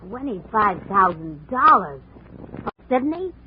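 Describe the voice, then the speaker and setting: An actress playing a tough, contemptuous, hard-drinking wife of a boxer in a 1950s radio drama, with slangy talk. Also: female voice, mid-range voice, big pitch swings, a little slow. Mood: brassy, indifferent. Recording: muffled noisy old radio recording.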